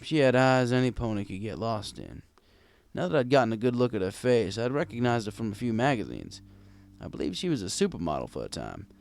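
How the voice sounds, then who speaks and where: A man's voice: medium pitch, sing-song, brisk, creaky, darkish tone, no room sound. A man narrating a scripted first-person story as a world-weary, kindly old bartender. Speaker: audiobook narrator.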